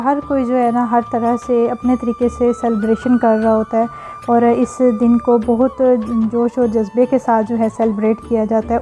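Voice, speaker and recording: A woman, hosting her cooking vlog in a casual, rambling voice-over full of filler phrases and blessings. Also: a little high. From Pakistan. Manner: warm religious blessings and greetings. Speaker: cooking and family vlogger.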